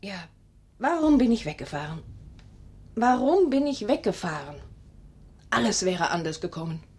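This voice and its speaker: A bitter, accusing daughter of about forty in a radio play. Her husky voice swings widely in pitch, with theatrical sarcasm. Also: sing-song, moderate pace, darkish tone. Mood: anguished. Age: 40s. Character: bitter forty-year-old daughter confronting her young stepmother. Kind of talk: German radio play acting.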